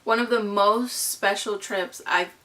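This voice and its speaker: Young woman from Mexico, chatty vlogger, bright clear voice, gushing and casual. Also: mid-range voice, fairly even intonation, takes it slowly. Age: 20s. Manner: bubbly and gushing, lots of fillers like "you know" and "like".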